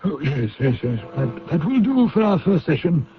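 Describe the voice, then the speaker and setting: An actor playing a senior British intelligence chief in a radio drama, formal and authoritative, pausing as he gives orders. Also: on the high side, very animated, noisy background. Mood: formal, solicitous. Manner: formal, hesitant pauses, authoritative. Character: senior British intelligence chief, courteous but commanding knight. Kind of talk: old-time radio drama acting. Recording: muffled radio drama recording.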